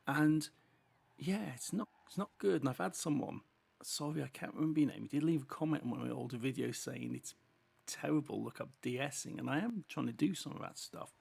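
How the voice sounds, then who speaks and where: Very gravelly, husky British man. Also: mid-range voice, a little fast, a little mellow. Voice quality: heavy sibilant S sounds. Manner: chatty running commentary while driving. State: voice going hoarse from a cold. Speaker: gaming channel host. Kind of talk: casual racing game commentary.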